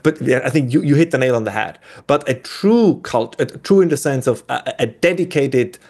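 A man with an Austrian German accent speaks as a guest in a conversational discussion, with a voice of ordinary middle pitch. He talks thoughtfully and often circles back to restart a phrase while he reaches for the right word. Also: expressive, normal speed, darkish tone.

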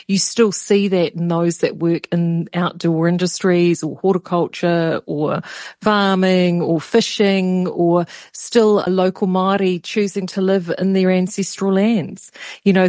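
A woman with a New Zealand accent hosting a relaxed conversation, talking reflectively with casual asides. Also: on the low side, no echo, clean studio sound. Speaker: radio podcast host.

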